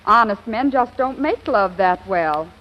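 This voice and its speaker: A woman's voice: medium pitch, animated, a little slow, natural voice. A woman playing an impulsive, indignant young sweetheart in a 1940s radio comedy, with dramatic emotional delivery. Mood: rueful. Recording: muffled, hissy old radio recording.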